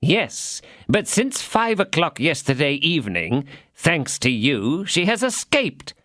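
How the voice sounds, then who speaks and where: A man's voice: on the high side, very animated, pushed and bright, dry recording, studio quality, voice pushed up, exaggerated voice. A man doing a pompous, stern official interrogator. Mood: interrogating. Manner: interrogating, officious, slow and emphatic. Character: stern, pompous male police commissary.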